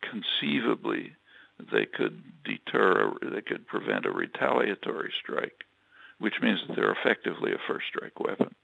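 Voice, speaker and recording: A man giving a political analysis in an interview, talking in a halting way with many fillers and restarts. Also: fairly low pitch, wildly swooping pitch, gravelly, no room sound, narrow, tinny audio. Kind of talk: measured spoken analysis, answering questions.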